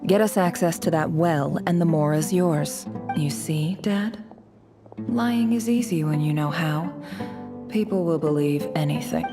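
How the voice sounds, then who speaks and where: A woman voicing a cunning, vengeful young woman posing as an ambassador in a video game, speaking in a formal, measured, manipulative way. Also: low voice. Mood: smug. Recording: music under the voice.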